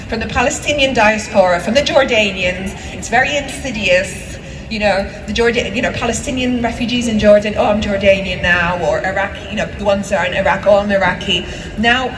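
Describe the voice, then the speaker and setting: A woman giving a heartfelt, conversational speech at an outdoor winter rally. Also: medium pitch. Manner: earnest, conversational, shifting into voices of her children. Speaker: rally speaker. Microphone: camera in the crowd, at a distance. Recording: echoey, distant, with loud crowd and street noise.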